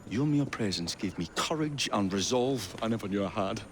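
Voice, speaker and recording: A man playing an eccentric, polite, nervy young Victorian gentleman inventor in a video game, with a British accent and a hesitant, wordy, theatrical way of talking. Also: fairly low pitch, expressive, moderate pace, a little hiss or hum. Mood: earnest. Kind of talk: video game cutscene acting.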